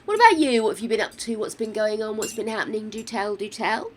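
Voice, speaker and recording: An older woman streaming a building game, chatting casually, swearing freely and muttering to herself, her voice croaky from a sore throat. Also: a little high, very animated, quick pace, a little hiss or hum.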